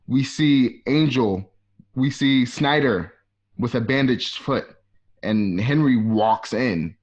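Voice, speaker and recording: A man's voice: on the low side, muffled recording. A man narrating scripted stage directions for a radio drama.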